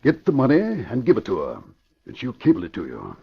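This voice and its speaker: An American man playing a scheming middle-aged investment crook in old radio crime drama style, commanding, smooth-mannered and emphatic. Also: medium pitch, huge pitch swings, fairly quick, exaggerated voice. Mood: instructing. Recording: muffled old radio recording.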